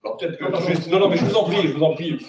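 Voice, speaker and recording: A man's voice: fairly high pitch, rapid-fire, heavy background noise. A man moderating a panel, politely introducing the next speaker. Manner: polite, courteous handover with fillers. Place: meeting room with tables in a U shape. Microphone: far room camera. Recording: echoey room, distant mic.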